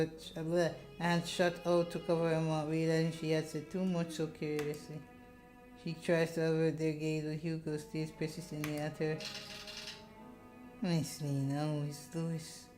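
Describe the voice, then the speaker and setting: A woman's voice: low-pitched, monotone, normal speed, darkish tone, soft background music. A woman streamer reading a visual novel aloud, with dramatic narration broken by casual asides.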